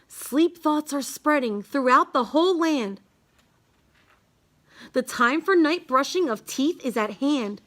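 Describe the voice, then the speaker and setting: A woman reading a rhyming children's picture book aloud in a measured, singsong way. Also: high-pitched, expressive, a bit bright. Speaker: storybook reader.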